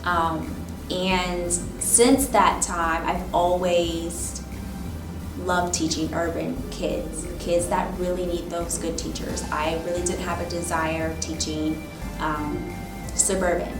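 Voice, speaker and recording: A woman in her thirties, a teacher, reflecting thoughtfully in an oral history interview, often pausing with "um" and "you know". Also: fairly low pitch, flat delivery. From Michigan, raised in Ohio. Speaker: teacher and instructional coach. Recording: roomy, distant mic with music behind.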